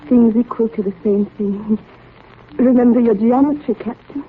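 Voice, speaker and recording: An actress playing a captain's devoted wife in a 1930s radio drama, speaking in a deliberate, sentimental way. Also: female voice, fairly high pitch, close to an everyday voice. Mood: tender, wistful. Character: devoted captain's wife bidding tender, composed farewell. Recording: tinny, noisy 1930s radio recording.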